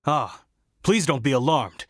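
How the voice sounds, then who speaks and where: An actor playing a dutiful, courteous guard in a video game, formal and reassuring. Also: male voice, medium pitch, expressive, measured pace, no echo, studio quality, lightly acted.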